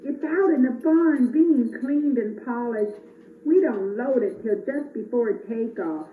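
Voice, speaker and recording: A woman's voice: fairly high pitch, measured pace, close to an everyday voice. A woman voicing an earnest, worried, kindly Christmas elf in a children's puppet show. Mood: tactful. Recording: echoey, tinny, distant camera, faint noise.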